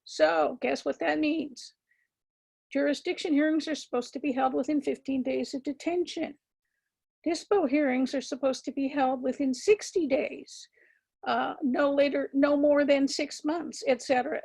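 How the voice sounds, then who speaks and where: A woman with a scratchy voice giving a measured, emphatic legal lecture to attorneys, with frequent ums and words repeated for stress. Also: high voice, creaky, a little mellow. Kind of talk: continuing-education lecture.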